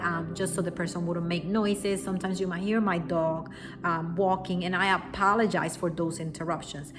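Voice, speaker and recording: Earnest woman with a strong Puerto Rican accent. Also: lowish voice. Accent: Puerto Rican Spanish. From Puerto Rico. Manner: earnest, reassuring, speaks directly to viewers. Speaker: spiritual teacher. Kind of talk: unscripted spoken lesson to camera. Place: at home, quiet room. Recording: music playing behind the voice.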